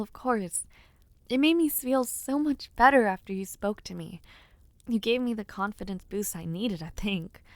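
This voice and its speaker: A woman playing an earnest, affectionate young noblewoman and would-be knight in an intimate audio roleplay, speaking with gently formal, sincere phrasing. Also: fairly low pitch, no echo. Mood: grateful, warm. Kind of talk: audio roleplay, intimate one-sided dialogue to listener.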